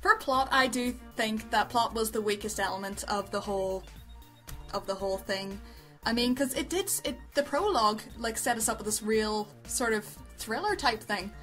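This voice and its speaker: A woman's voice: on the high side, normal speed, fairly bright tone. A woman co-hosting a review show, chatting casually in a rambling, informal, effusive way. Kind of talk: casual chat, review commentary. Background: background music.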